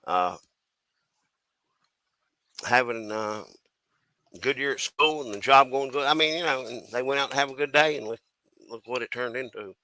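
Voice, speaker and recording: Man, from the US East Coast, casual panelist, bright tone, dry recording, folksy, hesitant delivery. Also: mid-range voice, moderate pace, long pauses, dry recording, poor audio. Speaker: panelist on a crime chat stream. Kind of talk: casual chat, giving opinions.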